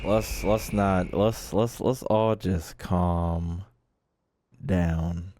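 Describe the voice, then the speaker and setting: A man's voice: low-pitched, fairly even intonation, drawn-out words, warm tone, dry recording. A man doing casual live stream commentary on a game, slangy, sweary and joking, close on the mic.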